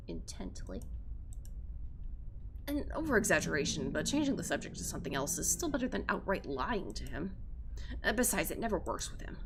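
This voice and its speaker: A woman's voice: low-pitched, gravelly, a bit bright, a little hiss or hum. A woman streamer reading a visual novel aloud with theatrical, casually sweary live commentary. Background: game music.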